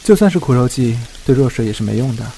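A man's voice: medium pitch, expressive, fast, vocal fry, warm tone, slight background noise. An actor playing a frank, protective young man, a loyal friend, in an audio drama. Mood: wry, dismissive.

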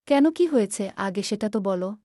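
A woman's voice: mid-range voice, lively intonation, moderate pace, dark tone, dry recording, studio quality, natural voice. A woman playing an anxious, consoling village wife and mother in a cartoon story.

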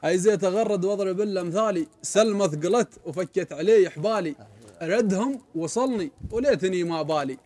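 Animated young man, Omani Arabic accent. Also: sits high. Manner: animated, gestures a lot, slows to chant verses. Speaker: guest poet. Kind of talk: casual storytelling and poetry recital. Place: indoor studio set on a sofa.

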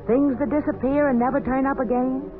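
A woman's voice: high voice, fairly even intonation, fairly quick, phone-quality sound. A woman storyteller narrating a scripted 1940s children's radio programme. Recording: thin 1940s radio, loud music behind.

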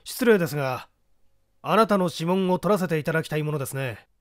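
Stern, self-assured police detective for a mystery audiobook, acted by a man, brusque, pitched fairly high. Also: animated, quick pace, no echo, studio quality, natural voice. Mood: coldly polite. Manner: brusque, authoritative.

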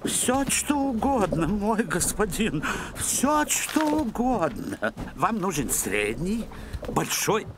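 A servile merchant in an animated film. His high voice slides all over the place, slowly and nervously fawning. Clear clicking noise surrounds him. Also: heavily acted. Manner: obsequious, hesitant.

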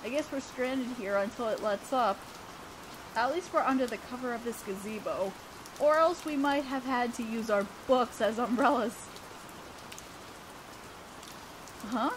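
A woman's voice: fairly high pitch, background noise, lightly acted. A woman playing an awkward, flustered young student council president in a romantic audio roleplay, with a hesitant, earnest delivery. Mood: exasperated, embarrassed.

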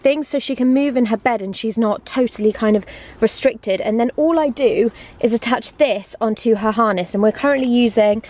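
A woman's voice: fairly high pitch, moderate pace, darkish tone. A young adult woman, chatting casually and informally to camera as a vlogger. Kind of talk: casual vlog chat to camera.

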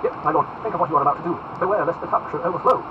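A man's voice: a little high, very fast, voice pushed up, close to an everyday voice. A man voicing a fervent young naval officer in a classic novel reading, abrupt, reproachful and impassioned. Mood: pleading, warning. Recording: very noisy, slightly dull recording.

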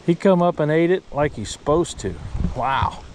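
A man with a slightly high voice talks casually and folksily, repeating short phrases. He is out on a boat on a lake, picked up by a body-worn action camera. Clear wind noise runs behind him. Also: lively intonation, normal speed, darkish tone, low-quality recording. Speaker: host of a fishing vlog. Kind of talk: casual chat while fishing. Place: outdoors on a boat on a windy lake.

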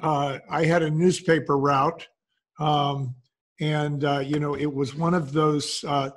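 A man's voice: a little high, level tone, slow pace. A man sharing anecdotes in a conversational way as an interview guest. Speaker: podcast guest, entrepreneur.

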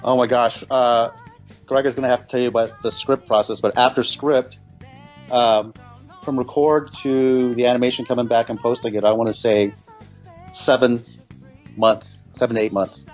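A man's voice: mid-range voice, steady tone, normal speed, dull audio, soft background music. A man answering interview questions casually as an animation producer.

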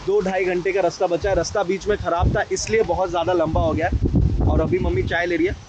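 An Indian man in his thirties talks in a casual vlog style with a slightly high-pitched voice. He is informal and tends to repeat points for emphasis. Clear vehicle and outdoor noise surrounds him as he records on a camera. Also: flat delivery, fairly quick, muffled recording. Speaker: travel vlogger. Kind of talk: casual vlog chat mixing Hindi and English. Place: inside a car and on a rainy roadside. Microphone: camera at arm's length or on dashboard. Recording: noisy vehicle and outdoor ambience.

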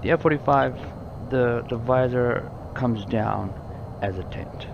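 A man's voice: medium pitch, measured pace, vocal fry, dull audio, noisy background. A man giving a casual, explanatory walkthrough of a flight simulator cockpit, informally repeating and rephrasing as he goes. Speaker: gaming tutorial presenter.

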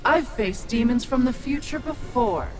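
A woman's voice: a little high, animated, a little slow, rough recording. A woman voicing an imperious, mocking ancient soul-devouring witch in a video game. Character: haughty, menacing ancient witch who devours souls. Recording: loud music behind studio game voice.

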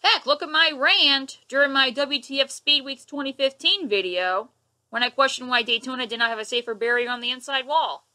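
A woman's voice: sits high, fairly quick, pushed and bright. A young woman ranting at her webcam in her living room, indignant and sarcastic, waving her hands as she talks. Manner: indignant, sarcastic, gestures a lot. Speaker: vlogger. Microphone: webcam at close range.

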